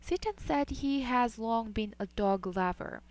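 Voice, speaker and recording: Woman, news reporter, scripted narration, measured and even, fairly high voice.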